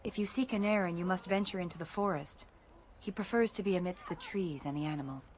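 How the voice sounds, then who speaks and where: A woman's voice: fairly low pitch, brisk, darkish tone, slight background noise, close to an everyday voice. An actress playing an earnest woman who gives guidance in a fantasy video game, speaking in a formal manner.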